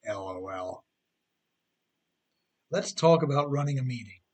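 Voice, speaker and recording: A man's voice: fairly high pitch, fairly bright tone. A middle-aged man reading his own humorous advice book as scripted narration, with an emphatic, tongue-in-cheek delivery.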